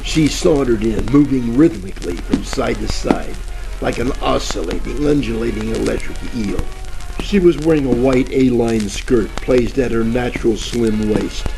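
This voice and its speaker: A man playing a wisecracking, hapless private eye in a parody of old radio detective drama, with deadpan delivery. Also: medium pitch, vocal fry, natural voice. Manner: deadpan, wisecracking first-person narration. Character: wisecracking hardboiled male gumshoe, hapless and flirty. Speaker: narrator and lead actor. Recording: crackly old-time radio with music.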